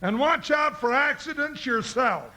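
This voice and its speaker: An actor playing a big, folksy, loyal comic cowboy sidekick who blusters in a 1950s radio western. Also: male voice, extremely high pitch, animated, measured pace, heavy background noise. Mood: wary, cocky.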